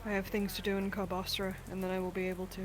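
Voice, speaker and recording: A woman's voice: mid-range voice, monotone, quick pace, crowd noise, lightly acted. A woman playing a bold, vengeful female adventurer in improvised fantasy roleplay, talking in casual slang with some swearing. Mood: defiant, confident.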